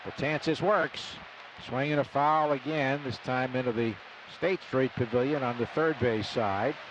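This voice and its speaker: A man's voice: mid-range voice, measured pace. A man calling baseball play-by-play on live radio in clipped phrases that swell on big plays. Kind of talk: live sports commentary. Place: broadcast booth at an outdoor ballpark. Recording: noisy live stadium radio broadcast. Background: loud ballpark ambience.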